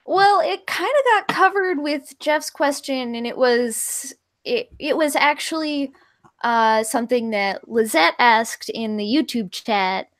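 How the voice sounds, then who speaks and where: A woman's voice: high voice, lively intonation, measured pace. A woman in her twenties moderating a casual panel, informal and self-deprecating with some swearing. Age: 20s.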